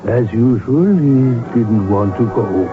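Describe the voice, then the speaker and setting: An actor playing a grave, loyal royal physician, speaking solemnly and formally as in a radio drama. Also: male voice, mid-range voice, lively intonation, slow. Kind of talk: 1970s radio drama acting. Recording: muffled old radio broadcast with music.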